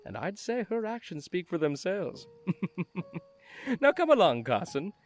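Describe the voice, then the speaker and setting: A man's voice: high-pitched, big pitch swings, measured pace. A man playing a gracious, teasing older retired carpenter in a fan audio drama, with a theatrical, effusive and affectionate manner. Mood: knowing, breezy. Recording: music under the voice.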